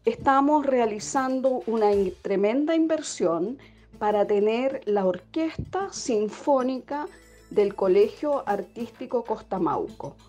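A woman's voice: a little high, expressive, slow, darkish tone, faint music behind. A woman giving an interview statement as an education director.

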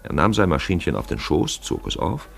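A man narrating a literary radio feature in an emphatic, wry storytelling manner, with a gravelly voice. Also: sits low, sing-song, a little fast. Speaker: narrator and presenter. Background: music.

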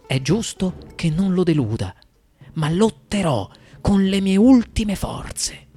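A man playing a weary old sea captain, solemn and deliberate, in a storybook reading. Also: sits high, sing-song, mellow, voice pushed up, full character voice. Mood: resolute. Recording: music behind the voice.

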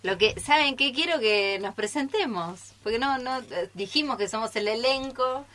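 A woman's voice: fairly high pitch, lively intonation, moderate pace, bright, forceful tone. A woman with an Argentine accent chatting informally live in a radio studio. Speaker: radio theatre troupe member introducing the group.

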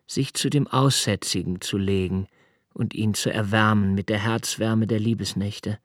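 A man playing a brooding, introspective young poet-diarist haunted by dread, speaking a contemplative, halting literary monologue for a radio drama. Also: fairly low pitch, dry recording, studio quality. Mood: pensive, searching.

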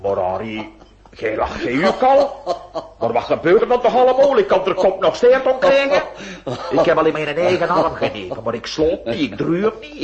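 A man's voice: sits high, dull audio. A man acting a bewildered, blustering notary in a radio play, speaking colloquially with a folksy Brussels accent. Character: bewildered, rambling notary with folksy speech, protesting innocence.

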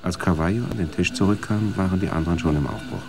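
A man narrating a radio drama in a dry, measured way, with music behind. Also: sits low. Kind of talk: scripted narration.

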